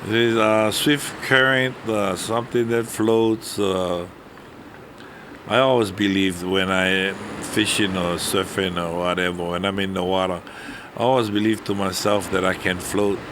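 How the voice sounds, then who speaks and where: A man in his eighties from Hawaii, with a Hawaiian Pidgin accent, speaking in a relaxed, chuckling way in an interview. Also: deep voice, background noise. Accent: Hawaiian Pidgin English. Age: 80s. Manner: slow, easygoing, chuckling. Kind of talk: casual interview talk.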